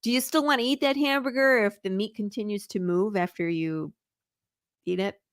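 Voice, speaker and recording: A woman's voice: mid-range voice, normal speed, no room sound, studio quality. A woman from Michigan with a Midwestern accent, chatting eagerly as a guest and laughing often. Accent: Midwestern American. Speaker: comic creator guest. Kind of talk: casual interview chat.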